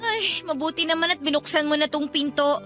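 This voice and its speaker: Woman acting as troubled young girlfriend, high-pitched, plaintive, sulky, radio romance, faint music. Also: brisk, sharp tone. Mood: hesitant, troubled. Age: young adult. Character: upset, needy young girlfriend who ran away from home. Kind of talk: Tagalog radio love drama acting.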